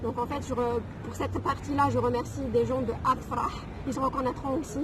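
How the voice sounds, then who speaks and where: A woman's voice: sits high, steady tone, fairly quick. A woman in her thirties from Algeria, telling her story in a casual interview with frequent fillers. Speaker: guest. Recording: noisy background.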